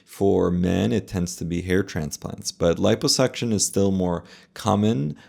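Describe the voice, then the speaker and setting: A man with a low voice full of heavy fry gives casual commentary. He explains things in a measured way, like a doctor talking through what he has just watched. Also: darkish tone. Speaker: plastic surgeon reacting to a video.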